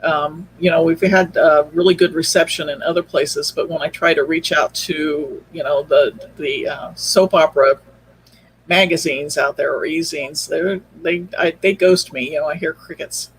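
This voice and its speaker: A man's voice: fairly high pitch, fairly even intonation, moderate pace, husky, rough recording. A man speaking as an interview guest, explaining things in a casual, rambling way. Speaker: podcast guest, audio drama creator.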